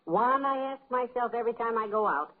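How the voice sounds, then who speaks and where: An actress playing a patient, skeptical wife with a dry, affectionate manner, in 1950s radio comedy style. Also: female voice, fairly high pitch, expressive, brisk, close to an everyday voice. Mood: wry skepticism. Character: patient, wryly skeptical wife of a boastful husband. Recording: muffled, narrow old radio recording.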